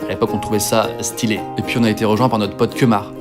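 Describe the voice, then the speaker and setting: A man's voice: lowish voice, flat delivery, rapid-fire. A young man in his twenties from northern France, telling his life story as a jokey, self-mocking voice-over. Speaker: video creator. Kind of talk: comic autobiographical voice-over. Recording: voice-over with music behind.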